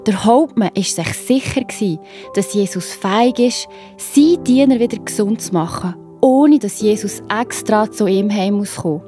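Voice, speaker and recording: A woman narrates a children's story in a mellow, mid-pitched voice with a Swiss German accent. She addresses the young listener directly and encouragingly, with faint music underneath. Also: normal speed.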